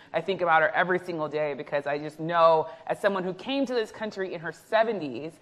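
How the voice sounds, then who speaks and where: Woman, thirties, first language Haitian Creole, lively festival-stage interviewer, lapel mic. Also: deep voice. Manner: lively, chatty, laughs easily. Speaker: interviewer, journalist. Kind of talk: onstage festival conversation.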